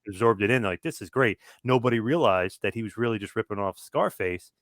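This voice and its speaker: A man's voice: on the low side, brisk, fairly bright tone, no room sound, clean studio sound. Man telling a story on a podcast. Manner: storytelling, quoting others in asides. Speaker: podcast co-host. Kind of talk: casual podcast chat.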